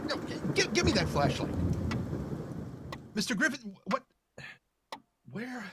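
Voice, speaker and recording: An actor playing a haunted, nervous widower in old-time radio horror style, halting and stammering with agitation. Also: male voice, very high-pitched, wildly swooping pitch, very noisy, exaggerated voice. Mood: shaken confusion. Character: haunted, nervous, grieving widower desperate to sell.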